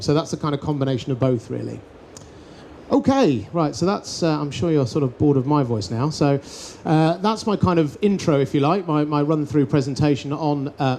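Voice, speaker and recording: A man, loud and lively, presenting and chairing a conference panel with many fillers. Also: fairly high pitch, slight background noise. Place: conference hall stage. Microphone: headset microphone.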